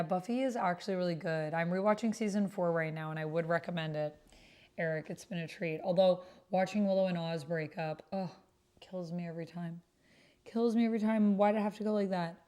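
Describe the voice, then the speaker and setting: A woman's voice: fairly low pitch, fairly even intonation, fairly quick, a little mellow, smooth. A woman hosting a livestream, chatting casually and informally with her viewers. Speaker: livestream host.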